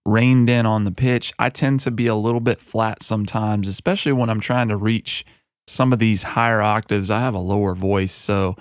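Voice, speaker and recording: A man with a lowish voice talks through his mixing session in a relaxed, conversational way, leaning on easy fillers. He is close on a broadcast studio mic in a home studio, and the sound is dry, with no room around it. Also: moderate pace, clear, resonant tone, dry recording. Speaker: music producer and recording artist. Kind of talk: mixing tutorial walkthrough. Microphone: broadcast studio microphone.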